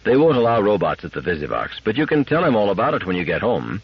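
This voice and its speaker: Gruff, stubborn family man, acted by a male reader; husky, lowish voice, dull lo-fi recording. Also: very animated, fast, heavily acted. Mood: guilty, absent. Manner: gruff, firm, exasperated. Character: stubborn, irritable husband and father, secretly soft. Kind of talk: audiobook character acting. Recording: dull lo-fi radio-like audio.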